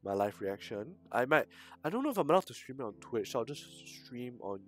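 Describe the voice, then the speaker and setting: Male game streamer, casual livestream chat, mid-pitched, hesitant mumbled asides, game music behind him. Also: big pitch swings, fairly quick. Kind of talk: casual livestream chat over a visual novel.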